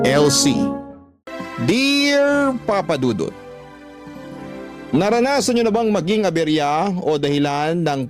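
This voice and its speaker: A man reading listeners' letters as a dramatic first-person story, as a radio host. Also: fairly high pitch. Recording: music under the voice.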